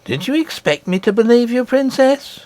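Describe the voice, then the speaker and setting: A man voicing a sceptical, scolding woman nursemaid in a storybook, brisk, dismissive and sarcastic. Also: very high-pitched, expressive, a little mellow, no echo, voice pushed up. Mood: cold, vexed.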